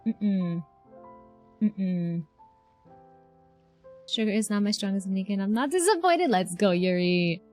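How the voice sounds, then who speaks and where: A woman's voice: medium pitch, animated, takes it slowly, pauses often, darkish tone. A woman streaming casually, chatting and thinking aloud as she reacts to a video game. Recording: game music behind the voice.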